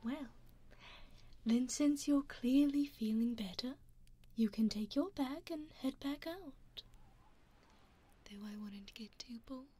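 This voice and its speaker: A woman with a British accent voicing a teasing aristocratic female vampire in an ASMR roleplay, whispering softly and slyly into a binaural mic. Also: sits high, slow pace, long pauses, husky, heavily acted. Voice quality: soft whisper, sly and mocking.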